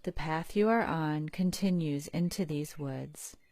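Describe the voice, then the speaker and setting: A woman narrates a guided relaxation in a low voice. She speaks very slowly and calmly, leaving long pauses between phrases. The sound is dry, with no room echo. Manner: calm, unhurried, soothing, with long pauses. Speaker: guided meditation leader.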